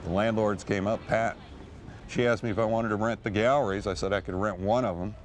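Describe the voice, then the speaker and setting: A man who founded a gallery, recalling its start in a documentary interview, pausing often with fillers. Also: lowish voice, steady tone, a little hiss or hum. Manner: hesitant, many "uh" fillers.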